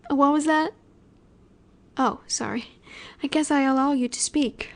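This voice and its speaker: A woman acting as a cocky female werewolf alpha in a flirty audio roleplay. Her voice is high and she takes her time over each phrase. She taunts and teases, sure that she is in charge. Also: darkish tone. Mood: smug, mocking. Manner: teasing, taunting, confident.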